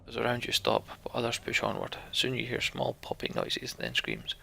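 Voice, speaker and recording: Gravelly, bright male game narrator. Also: medium pitch, very animated, moderate pace, a little hiss or hum. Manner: casual, sarcastic asides with swearing. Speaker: let's play host. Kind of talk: reading game text aloud with asides.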